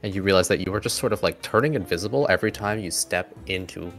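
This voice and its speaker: Male game master, low pitch, fry in the voice, halting improvised narration, conversational, light music behind. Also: fairly bright tone.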